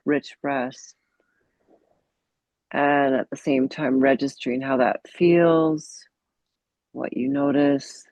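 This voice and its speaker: A woman guides a mindfulness meditation gently, leaving pauses between short phrases. Her delivery is slow, with a lot of creaky fry in the voice. The recording is dull and muffled. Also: rumbling low, no echo. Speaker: meditation teacher.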